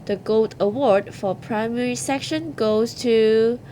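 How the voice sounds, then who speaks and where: A woman's voice: on the high side, takes it slowly, background noise. A woman hosting an awards ceremony, reading scripted announcements.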